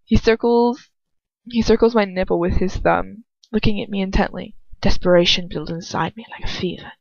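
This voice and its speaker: A woman's voice: mid-range voice, steady tone, moderate pace, creaky, a little mellow, no room sound. A woman reading fan fiction aloud as an amateur, with casual, self-conscious asides in an informal, chatty manner. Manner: informal, self-conscious, giggly, swearing, hedging with "like". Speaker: amateur audiobook reader. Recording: dull lo-fi home recording.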